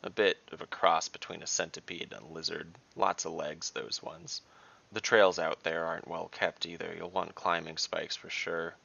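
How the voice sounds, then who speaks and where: A man doing a gruff, low monster hunter. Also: steady tone, moderate pace, sharp tone, lo-fi sound, full character voice. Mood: grim, knowing. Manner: curt, matter-of-fact. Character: gruff, world-weary male monster hunter. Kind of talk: fantasy audiobook character voice.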